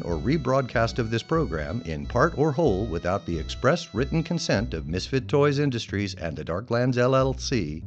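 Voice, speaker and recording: Credits announcer: man, formal dramatic read, low-leaning pitch, faint music behind. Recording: dull audio under faint music.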